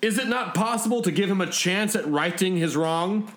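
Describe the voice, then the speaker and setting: Spaceship robot servant, voiced by a man, raised pitch, formal, obedient and flattering, comic story style. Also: moderate pace, reverberant. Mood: deferential. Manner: deferential, formal.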